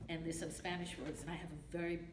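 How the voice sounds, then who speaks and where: A woman's voice: deep voice, level tone, quick pace. An older woman with a Brooklyn accent reading a poem at a podium, emphatic and rhythmic, repeating her refrains. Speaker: poetry reader. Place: library meeting room. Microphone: podium mic from a far camera. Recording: echoey room with faint noise.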